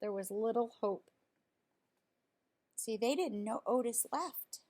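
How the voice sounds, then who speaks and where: A woman reading a children's picture book aloud in an expressive way, as if to young listeners. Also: fairly high pitch, slow. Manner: expressive, explains words simply to children. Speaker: storybook reader.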